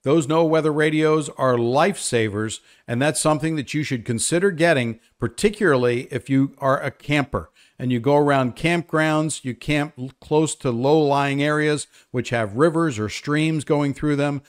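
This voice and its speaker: An older man presenting an unscripted live weather update, explaining as he goes with hesitant pauses to think. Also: fairly high pitch.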